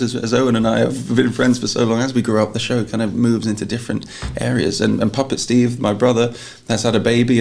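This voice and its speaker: A man's voice: mid-range voice, gravelly, darkish tone. A man chatting casually in an interview, laughing often and tripping over his words. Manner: quick, laughing, stumbles and repeats words. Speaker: performer and show director. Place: outdoors at a festival.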